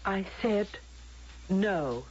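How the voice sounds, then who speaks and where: An actress playing an anguished, oversensitive woman in a vintage radio drama, halting and stammering with emotion. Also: mid-range voice, animated, a little mellow, husky, heavily acted. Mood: troubled, defiant. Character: distraught, oversensitive woman Mara, anguished, defiant. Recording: dull vintage radio recording.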